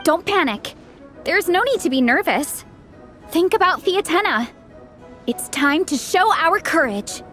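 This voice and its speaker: A woman's voice: very high voice, animated, measured pace, fairly bright tone, faint music behind, heavily acted. A woman voicing a bold, encouraging young teammate in a video game, earnest and rallying. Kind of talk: scripted game dialogue.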